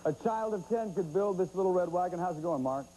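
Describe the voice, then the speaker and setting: A man's voice: high-pitched, a little fast, mellow, a little hiss or hum, poor audio. A man hosting a late-night talk show, teasing his guests and laughing often. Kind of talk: joking talk show banter.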